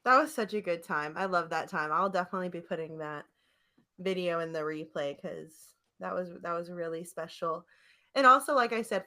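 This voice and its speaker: A woman co-hosting a podcast in casual banter with a friend, talking in an animated, emphatic, slangy way with a giggly streak. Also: lowish voice. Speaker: podcast co-host.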